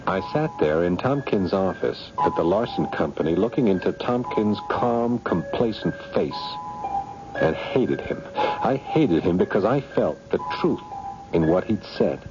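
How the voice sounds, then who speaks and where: A man's voice: low voice, expressive, measured pace, music in the background. An actor playing a resentful, suspicious man cornered in a scheme, earnest and wry, in a 1970s radio drama. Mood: brooding. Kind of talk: 1970s radio drama acting and first-person narration. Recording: dull, noisy vintage radio broadcast.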